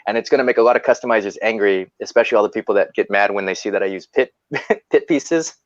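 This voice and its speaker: A low-voiced man talks through his methods in a rambling, halting way, stopping and restarting often. His audio is muffled and dry, with no room sound. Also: dry recording. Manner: rambling, halting, lots of fillers and restarts, chuckles while explaining. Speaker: guest customizer. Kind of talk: casual chat.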